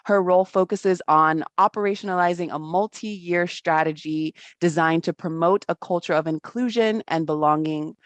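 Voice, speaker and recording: A host whose first language is not English, introducing guests in a friendly, somewhat halting manner. The sound is dry and close, with no room around the voice. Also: mid-range voice, dry recording. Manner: friendly, slightly halting reading. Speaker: event host. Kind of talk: introducing speakers.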